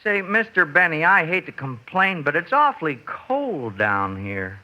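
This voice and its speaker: An actor playing a meek, earnest old guard in a 1950s radio comedy. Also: male voice, on the high side, sing-song, measured pace, sharp tone, heavily acted. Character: naive old vault guard living underground, meek and earnest. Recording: noisy, dull 1950s radio recording.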